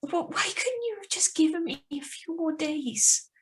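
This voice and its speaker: A woman acts out a guilt-ridden young woman hiding a secret in a radio play. Her voice is high and her delivery unhurried, with an anguished, pleading manner. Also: animated. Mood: torn, pleading. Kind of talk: live radio drama reading with first-person narration.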